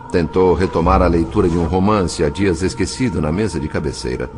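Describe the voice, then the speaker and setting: A Brazilian man with a very low voice narrates a scripted drama in literary style. His intonation swings widely and expressively, with clear music playing underneath. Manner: literary, measured dramatic storytelling.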